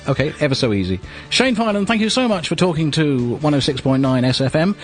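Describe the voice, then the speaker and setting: English man, slightly high voice, very varied intonation, courteous radio interviewer. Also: animated, fairly quick, soft background music. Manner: courteous, affirming.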